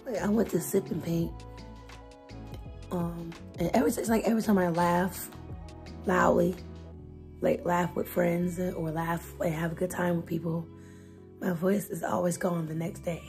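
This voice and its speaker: Hoarse young woman vlogging over music. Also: lowish voice, unhurried, pauses often. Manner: chatty, loud and expressive. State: hoarse, voice nearly gone. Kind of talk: casual vlog chat to camera. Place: bedroom at a desk, indoors. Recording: music playing under the voice. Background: lofi music bed.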